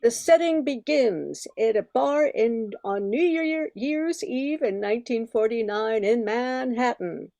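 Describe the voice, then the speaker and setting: A woman's voice: on the high side, animated, measured pace, hardly any pauses, dark tone, no room sound. An older woman reading scripted narration as the announcer of a radio show.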